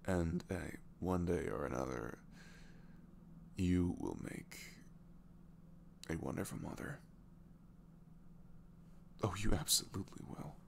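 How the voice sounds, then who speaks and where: A man playing a sweet, earnest single dad in an intimate ASMR roleplay, soft and hesitant, often dropping to a whisper. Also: low voice, expressive, takes it slowly, gravelly, long pauses, slight background noise, full character voice. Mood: tender, grateful. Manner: hesitant, gentle, murmured.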